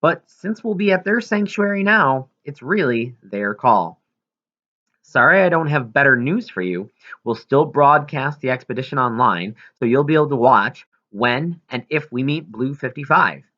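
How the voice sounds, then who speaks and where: A man's voice: medium pitch, animated, normal speed, bright, forceful tone, lo-fi sound. A man reading aloud as a considerate female researcher, friendly and explanatory, in an audiobook. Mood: friendly, apologetic. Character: considerate female whale researcher writing an apologetic letter.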